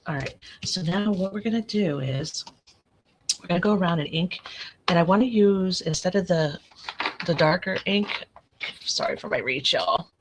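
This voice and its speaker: A woman with a raspy, allergy-worn voice, rambling and correcting herself as she talks through a live crafting tutorial at her desk. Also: fairly low pitch, moderate pace, long pauses, slight background noise. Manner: chatty, rambling, self-correcting. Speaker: craft channel host.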